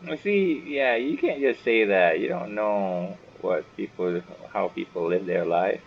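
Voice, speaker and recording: A man's voice: lowish voice, animated, measured pace. A man giving casual gaming commentary, muttering and thinking aloud as he plays. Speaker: gaming video host. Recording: dull lo-fi mic with faint noise.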